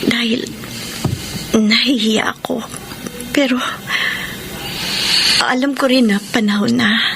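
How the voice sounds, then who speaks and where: Slow, remorseful, tender mother. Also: mid-range voice, background noise. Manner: slow, halting, hushed and emotional delivery. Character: remorseful, tender mother seeking forgiveness from her family. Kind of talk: Filipino radio drama acting.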